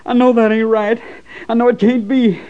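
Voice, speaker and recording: A man's voice: extremely high pitch, level tone, normal speed, clear, resonant tone. A man playing an anguished, ashamed young army deserter, stammering and pleading, in an old radio western. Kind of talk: 1940s-50s radio western acting. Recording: muffled old radio recording.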